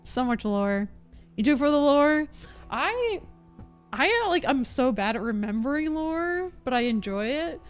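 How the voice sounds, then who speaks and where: A young woman game streamer chatting casually with her viewers in an expressive, meandering way. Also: sits high, a little slow. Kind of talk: casual live-stream chat. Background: faint game music.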